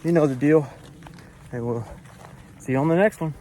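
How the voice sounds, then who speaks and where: Man, hiking vlogger, breathless, slightly hoarse, talks casually to a handheld camera, faint outdoor noise behind. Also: a little high, moderate pace, dark tone. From Florida. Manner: breathing hard, winded while hiking uphill. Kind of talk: casual vlog narration to camera. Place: outdoors on a forest hiking trail.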